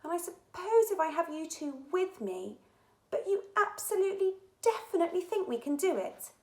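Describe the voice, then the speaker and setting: A woman's voice: very high-pitched, sing-song, normal speed, mellow, voice pushed up. A woman voicing an anxious, hesitant young schoolgirl in a children's storybook, with a nervous manner. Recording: echoey room.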